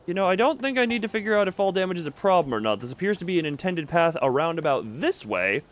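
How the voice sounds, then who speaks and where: A man's voice: high-pitched, very animated, quick pace. A man giving casual gameplay commentary to a live chat, rambling and thinking aloud as he plays. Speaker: video game streamer.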